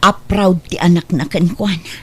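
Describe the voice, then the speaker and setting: A woman's voice: on the low side, fairly even intonation, moderate pace, husky. A woman with a Filipino accent acting a long-suffering, forbearing mother in a radio drama. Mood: hurt.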